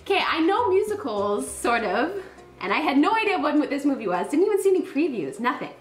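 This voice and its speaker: A woman's voice: high voice, big pitch swings, brisk, echoey room, faint music behind. A woman telling a personal anecdote casually and animatedly, acting out remembered reactions as she goes. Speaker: storyteller recounting a personal anecdote.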